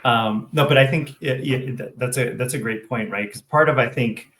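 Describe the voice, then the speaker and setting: A man's voice: mid-range voice, normal speed, fairly bright tone, echoey room, a little hiss or hum. A man co-hosting a casual local discussion show, talking reflectively and often checking in with "right?". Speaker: co-host of a local civic affairs show.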